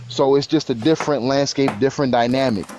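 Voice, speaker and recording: A man's voice: medium pitch, fairly even intonation, fast, over a noisy crowd. A man in his late twenties on a live stream panel, explaining his views earnestly with examples and frequent restarts. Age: 20s. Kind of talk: casual panel talk. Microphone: live stream call.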